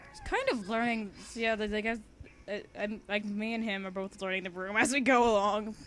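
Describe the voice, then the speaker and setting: A woman's voice: mid-range voice, fairly even intonation, normal speed, fairly bright tone, a little hiss or hum. A young woman chatting casually as she reads a story aloud, with a giggly, rambling, informal manner. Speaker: fan fiction author and reader.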